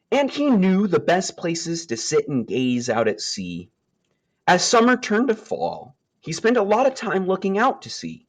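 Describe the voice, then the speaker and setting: A man reading a children's nature story aloud, with expressive, emphatic phrasing and dramatic pauses. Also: a little high.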